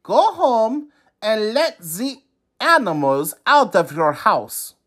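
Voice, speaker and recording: Village wise man, voiced by a man: calm storybook sage, unhurried, pitched up. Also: exaggerated voice. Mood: calm advising. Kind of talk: children's storybook character voice.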